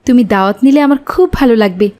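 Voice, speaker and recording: Cartoon village mother, woman performer: anxious, kindly, high-pitched, mellow, brisk. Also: lightly acted. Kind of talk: cartoon voice acting.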